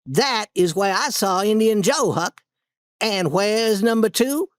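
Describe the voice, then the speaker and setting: A storybook reader voicing an eager, bold boy adventurer in a raised, excited voice. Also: medium pitch, measured pace, dry recording, clean studio sound, pitched above the performer's own voice, lightly acted. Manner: excited, insistent.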